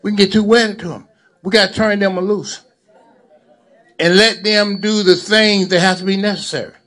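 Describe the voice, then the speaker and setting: A man's voice: high-pitched, fairly even intonation, moderate pace, fairly bright tone. A man in his seventies giving a speech to a gathering, preacherly and repeating phrases for emphasis. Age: 70s. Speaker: lawyer and community activist.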